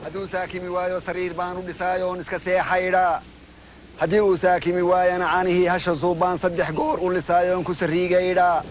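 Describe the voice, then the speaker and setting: A man's voice: sits high, little pitch movement, a little fast, a little hiss or hum. A man reciting poetry in a rhythmic, chanting delivery.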